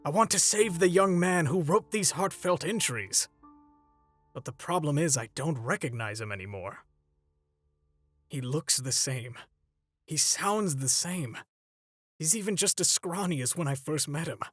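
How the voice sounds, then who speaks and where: A man playing an older superhero mentor in a comic dub, paternal and gently stern, with a theatrical, measured delivery. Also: fairly high pitch, animated, no room sound, clean studio sound, faint music behind. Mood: earnest, sorrowful. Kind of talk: dramatic comic dub voice acting.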